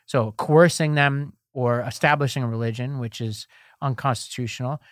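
A man's voice: mid-range voice, fairly even intonation, moderate pace, no room sound, studio quality. A man co-hosting a podcast, explaining court rulings in casual talk with many "like"s and restarts. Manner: explanatory, filler-heavy, restarts. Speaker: podcast co-host. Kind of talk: casual legal news discussion.

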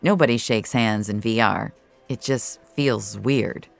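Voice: mid-range voice, measured pace, creaky, faint music behind. A narrator reading first-person audio fiction in a wry, colloquial way.

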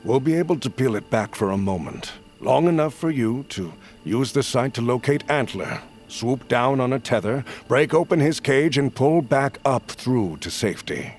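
A male actor playing a solemn, persuasive leader of smuggler outcasts in a fantasy audio drama, with measured, formal, archaic phrasing. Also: medium pitch, expressive, faint music behind, lightly acted. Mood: grave. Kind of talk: audio fiction acting.

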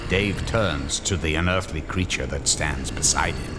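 Deep, theatrical horror narrator. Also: male voice, unhurried. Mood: ominous. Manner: theatrical, suspenseful. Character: grave, dramatic male horror story narrator. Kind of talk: scripted narration. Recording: loud music behind the voice.

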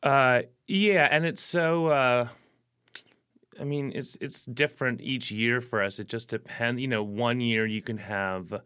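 Relaxed, rambling man musician. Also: mid-range voice, a little slow, smooth. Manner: relaxed and rambling, full of "like" and "you know" fillers. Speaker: career musician, interview guest. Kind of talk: casual interview answers.

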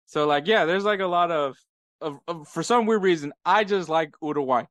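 Laughing, animated American man. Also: on the high side, normal speed, dry recording. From United States. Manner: laughing often, animated storytelling. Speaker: podcast co-host. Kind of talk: casual chat.

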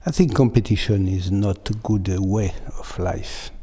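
Slow, thoughtful scientist. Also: male voice, lowish voice, mellow, slightly rough. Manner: slow, thoughtful, searching for words. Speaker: scientist interviewee. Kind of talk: interview answers.

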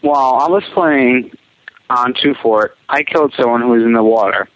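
A man leaving a voicemail, giving a gameplay tip in deliberate, short measured phrases. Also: mid-range voice, fairly bright tone. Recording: tinny narrow phone line voicemail.

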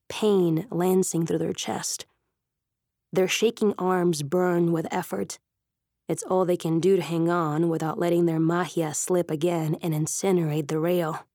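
A woman with a husky voice giving intense, dramatic audiobook narration. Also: lowish voice, darkish tone, dry recording, studio quality.

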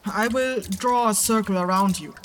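A woman's voice: medium pitch, moderate pace, background noise, natural voice. A woman playing a stubborn, exasperated nonhuman female circle crafter in an audio drama. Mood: dry, determined. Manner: curt, exasperated, insistent.

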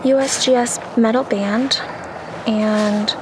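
A woman's voice: a little high, fairly even intonation, slow. A woman field researcher explaining what she does as she works outdoors. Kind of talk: hands-on explanation while working. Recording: noisy outdoor field recording.

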